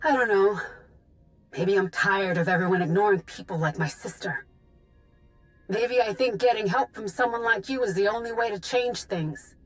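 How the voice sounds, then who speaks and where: An actress playing a weary, defiant young rebel in a video game, plain-spoken and guarded. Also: female voice, medium pitch, a bit bright, lightly acted, low-quality recording. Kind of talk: video game dialogue acting. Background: music.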